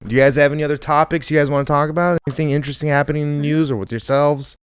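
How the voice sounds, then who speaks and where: A man's voice: a little high, fairly even intonation, very fast, clear, resonant tone, no echo. A man hosting a comedy podcast, telling digressive anecdotes in casual chat. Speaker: podcast host.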